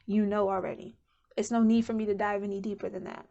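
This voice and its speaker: A woman's voice: mid-range voice, level tone, fast talker, creaky, dark tone, dull audio. A woman giving a casual pick-a-card tarot reading to camera, chatty and candid, thinking aloud as she goes. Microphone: camera mic.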